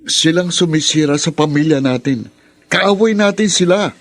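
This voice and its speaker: An actor playing an angry, resentful younger brother in a radio drama, husky and accusing. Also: male voice, on the high side, normal speed, natural voice. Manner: accusing, indignant.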